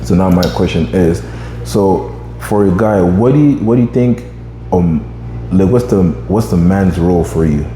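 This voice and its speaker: A man's voice: low-pitched, normal speed, mellow, reverberant, in a large hall, slight background noise. A man in his twenties hosting a podcast, chatting casually and rambling with lots of fillers. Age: 20s. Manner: rambling, many fillers like "you know what I mean". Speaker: podcast host.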